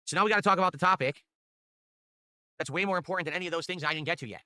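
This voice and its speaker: A man's voice: very fast, bright, forceful tone, dry recording. A man hosting his own stream, chatting casually and hyping up viewers for support. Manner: upbeat hype pitching for tips. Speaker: streamer host.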